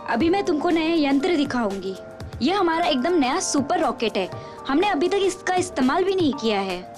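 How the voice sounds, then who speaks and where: Very high, lively young captain. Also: female voice, moderate pace, over music. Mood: explaining. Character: young female superhero team captain, brisk tour guide. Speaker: cartoon voice actor. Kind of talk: animated cartoon dub.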